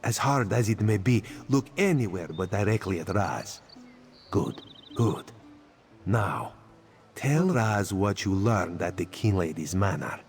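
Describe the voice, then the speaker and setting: An actor playing a sly, catlike male spymaster in a fantasy video game, who talks about himself in the third person in a hushed, conspiratorial way. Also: lowish voice, expressive, unhurried. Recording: game audio with faint music.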